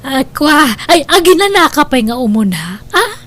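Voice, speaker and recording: A woman's voice: sits high, very animated, moderate pace, fairly bright tone. A woman with an Ilocano Filipino accent playing a scheming, guilt-ridden mother in an audio drama. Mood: coaxing, flustered. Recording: music under the voice.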